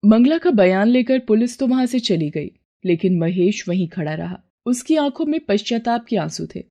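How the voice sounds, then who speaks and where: A woman narrating a scripted cartoon moral story in a steady, measured way. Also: mid-range voice.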